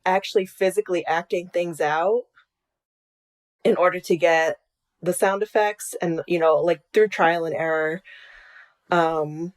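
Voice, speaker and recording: Lower-voiced woman in a casual interview. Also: unhurried, pauses often. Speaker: podcast creator being interviewed. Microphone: video call.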